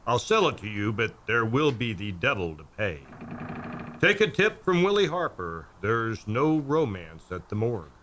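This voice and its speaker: A man's voice: medium pitch, big pitch swings, moderate pace, a bit bright, slight background noise. Sly, teasing male horror host. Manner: teasing and sly, with macabre puns. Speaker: radio drama host. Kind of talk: spooky, punning host sign-off.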